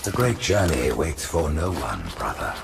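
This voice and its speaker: Very deep, gravelly alien prophet. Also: male voice, very animated, unhurried, full character voice. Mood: cold, portentous. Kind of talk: scripted video game acting. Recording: voice under loud music.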